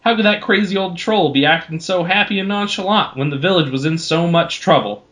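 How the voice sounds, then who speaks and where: A man's voice: sits high, a little fast, fairly bright tone. A man hosting a podcast, narrating a homemade adventure story in an informal style. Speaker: podcast host. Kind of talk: animated amateur story narration.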